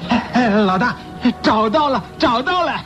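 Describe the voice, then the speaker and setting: A man's voice: extremely high pitch, expressive, normal speed, music in the background. An actor playing a nervous, fawning gangster henchman in a radio play, hesitant and eager to please his boss. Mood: breathless, eager. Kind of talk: theatrical radio drama acting. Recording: muffled lo-fi radio drama recording.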